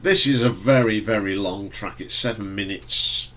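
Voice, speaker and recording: A man with a stuffy nose from hay fever, casually reviewing an album in a relaxed, rambling way with fillers and restarts. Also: lowish voice, normal speed, a little hiss or hum. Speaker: music reviewer.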